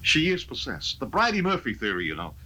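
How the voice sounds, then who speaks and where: A man's voice: medium pitch, huge pitch swings, brisk, pushed and bright, full character voice, low-quality recording. Man as intense, dramatic hypnotist doctor. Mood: amazed, urgent. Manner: dramatic, insistent. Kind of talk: 1970s live radio drama acting.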